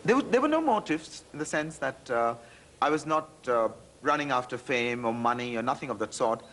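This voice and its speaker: A man's voice: mid-range voice, a little fast, a little hiss or hum. A man with an Indian accent speaking candidly and animatedly in an interview, often restarting his sentences. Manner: animated, self-deprecating, with frequent restarts.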